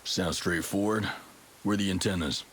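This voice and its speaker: A man's voice: deep voice, fairly even intonation, moderate pace, fairly bright tone, husky. A man playing a seasoned special-ops soldier in a video game, terse, dry and matter-of-fact. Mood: dry, businesslike. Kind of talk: video game cutscene dialogue.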